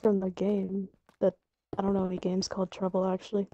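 A woman's voice: mid-range voice, completely flat delivery, brisk, warm tone, dull audio. A woman playing a video game with friends, bantering and teasing. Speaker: co-player. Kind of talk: casual gaming chat.

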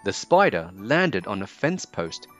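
Slow, lively male storybook narrator. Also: fairly low pitch. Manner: measured, expressive storytelling for young children. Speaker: storybook narrator, channel host. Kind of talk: children's read-aloud narration. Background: music.

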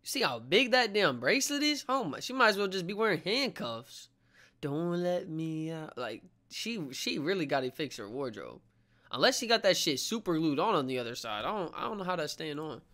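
A man's voice: a little high, big pitch swings, fairly quick, bright, forceful tone. A young man giving casual, joking, profane reaction commentary with plenty of slang. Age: young adult. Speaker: reaction video host.

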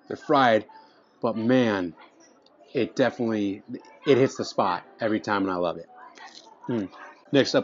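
A man's voice: fairly low pitch, darkish tone. A man in his thirties reviewing food to camera at a restaurant table, eager and chatty, often restarting his phrases between bites. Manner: enthusiastic, restarts phrases while tasting. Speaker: food vlogger. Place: indoor restaurant dining room. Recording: slightly dull sound, faint music behind.

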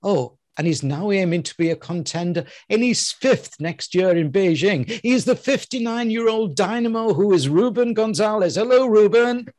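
An older man hosts with a lively, swooping delivery, throwing big emphasis on his words. His voice sits fairly high for a man and sounds close and dry, with no room around it. He speaks into a headset mic, as if introducing a guest in a studio. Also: moderate pace, few pauses, no echo. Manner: lively, playful, theatrical emphasis. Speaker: host. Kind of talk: interview intro and questions.

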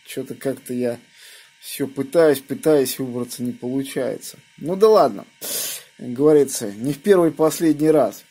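Man, soft dark tone, middle pitch, unhurried rambling ham radio chat. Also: husky. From Moscow region, Russia. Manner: unhurried, rambling, spelling out callsigns phonetically. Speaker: amateur radio operator. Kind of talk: casual ham radio contact.

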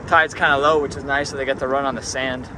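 Young male boat vlogger, easygoing and chatty, on an action camera with clear wind and engine noise. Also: medium pitch, quick pace. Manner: relaxed, chatty, talking to his dogs. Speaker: fishing vlogger. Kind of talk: casual vlog narration. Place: small open boat on the water outdoors.

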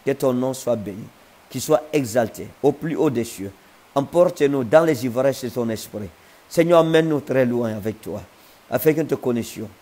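A man in his thirties prays slowly and fervently, then preaches with insistent repetition. He speaks into a wired earphone mic in a home living room, sounding close and personal. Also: medium pitch, dark tone. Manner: fervent, slow incantatory praying in tongues. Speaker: prophet. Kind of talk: livestream prayer and sermon. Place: living room with a sofa, indoors.

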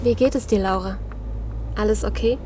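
A woman's voice: mid-range voice, normal speed, noisy background, lightly acted. A woman playing a caring, reassuring survivor in an audio drama. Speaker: voice actor.

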